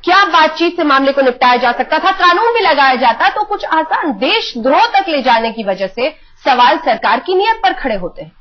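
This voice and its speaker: A woman's voice: high-pitched, animated, moderate pace, hardly any pauses. A woman anchoring a live TV news debate, putting pressing questions and cutting in on her guests. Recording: dull lo-fi broadcast audio.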